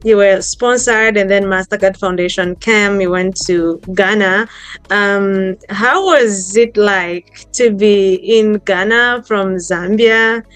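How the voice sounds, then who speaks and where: A woman hosts a relaxed interview, asking questions in a chatty way full of small fillers. Her voice is smooth and clear, recorded dry with faint music underneath. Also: mid-range voice, slow pace, dry recording. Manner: friendly, chatty, many fillers like "you know". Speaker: host. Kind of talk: casual interview talk.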